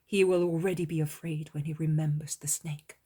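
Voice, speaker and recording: A woman voicing a gentle, calm male teacher in a soft, hushed, near-whispered voice. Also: deep voice, fairly quick, breathy edge.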